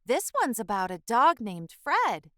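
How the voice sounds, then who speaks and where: A woman's voice: on the high side, very animated, measured pace, a bit bright, no room sound, clean studio sound. A woman voicing a friendly, patient female schoolteacher in a storybook, coaxing and encouraging young children. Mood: inviting. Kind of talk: storybook character voice.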